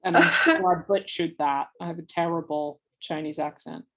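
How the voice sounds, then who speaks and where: A woman's voice: low-pitched, moderate pace, fairly bright tone, low-quality recording. A woman moderating a panel discussion, asking inquisitive questions and reading out audience questions.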